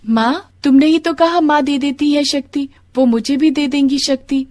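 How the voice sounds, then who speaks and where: A woman's voice: high voice, fairly even intonation, normal speed, darkish tone, pitched above the performer's own voice, natural voice. A woman voicing a caring, earnest young girl, a devoted daughter in a devotional storybook tale, with a pleading childlike manner. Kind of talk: devotional moral storybook dialogue.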